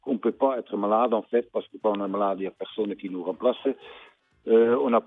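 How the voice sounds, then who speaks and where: An older man farmer from the Netherlands with a Dutch accent, speaking plainly and emphatically in a radio interview, pausing often with fillers. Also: lowish voice, steady tone, soft background music. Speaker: fruit farmer. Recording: tinny phone-like radio line.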